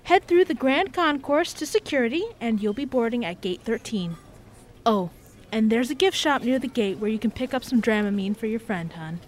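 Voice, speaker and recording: A woman playing a helpful, folksy airline ticket agent with brisk customer-service patter in a radio drama. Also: a little high, expressive, natural voice.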